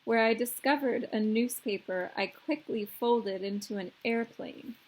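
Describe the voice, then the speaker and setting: A woman's voice: a little high, measured pace, darkish tone, a little hiss or hum, rough recording. A woman hosting a children's storytime, reading aloud expressively in simple phrases for young listeners.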